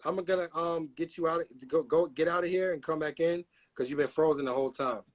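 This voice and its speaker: A man hosting a live stream, talking in a laid-back casual way and backing his guest up with steady agreement. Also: a little high, brisk.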